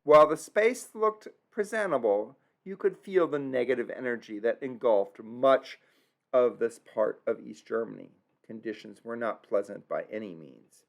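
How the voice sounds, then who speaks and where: Gravelly-voiced man narrating a trip. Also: mid-range voice, lively intonation, moderate pace. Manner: earnest, reflective, admits stumbling over German words. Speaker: travel vlogger narrating a tour.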